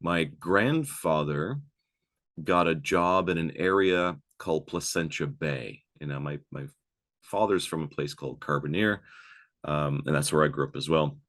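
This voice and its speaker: A man from Newfoundland with a soft Newfoundland accent and a trained, projected podcast voice, telling a family ghost story. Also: rumbling low, unhurried. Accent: Newfoundland, softened by voice training. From Newfoundland, Canada. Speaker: podcast host. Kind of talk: casual podcast chat and storytelling.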